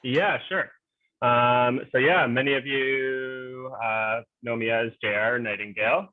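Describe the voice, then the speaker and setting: A man's voice: medium pitch, steady tone, slow pace, no room sound. A man from Ontario, co-hosting a casual game fan livestream, talking in an easygoing way with many fillers. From Ontario, Canada. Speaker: co-host, game fan group. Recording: dry, tinny phone-like audio.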